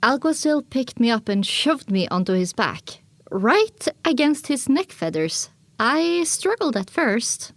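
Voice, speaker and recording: Woman narrating, fairly high, dry sound. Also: very animated, unhurried, dry recording. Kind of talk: scripted first-person story narration.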